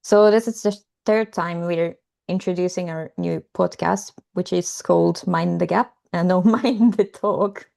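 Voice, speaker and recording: Young woman, lowish mellow voice, vocal fry, cheerful and giggly, podcast co-host. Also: measured pace, few pauses. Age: young adult. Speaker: podcast co-host, language teacher. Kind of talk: casual chat.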